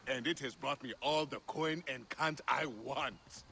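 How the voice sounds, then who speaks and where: A man's voice: medium pitch, steady tone, unhurried, creaky, pushed and bright, slight background noise. A man playing a boastful, bitter, drunken charioteer with a swaggering, taunting manner in a video game cutscene. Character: boastful, bitter, drunken male charioteer nursing old grudges.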